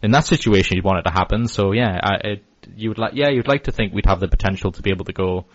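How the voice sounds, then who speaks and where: A man's voice: fairly low pitch, fast, no echo, muffled recording. An English man hosting a podcast interview, casual, rambling and agreeable. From England. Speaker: podcast host.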